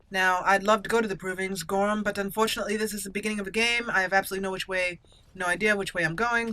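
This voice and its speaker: A woman's voice: lowish voice, pushed and bright. A woman giving casual, chatty, playful commentary as she hosts a let's play gaming video.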